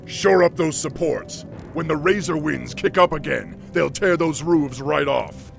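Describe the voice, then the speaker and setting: A man voicing a noble orc warchief, calm and commanding, with a measured, authoritative delivery. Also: on the high side, vocal fry, faint music behind.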